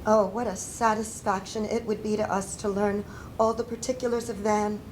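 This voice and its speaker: An older woman playing a grieving, devout Civil War mother in a solemn, deliberate dramatic letter reading. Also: mid-range voice, little pitch movement, a little mellow, a little hiss or hum, lightly acted, rough recording. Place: indoor stage before a projection screen.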